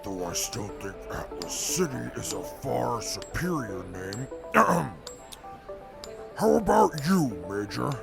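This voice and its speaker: An actor playing a pompous male robot reporter who calls himself a grand master of ceremonies, with a gravelly, grandiose delivery. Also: mid-range voice, sing-song, slow, over music, exaggerated voice. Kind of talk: audio drama acting.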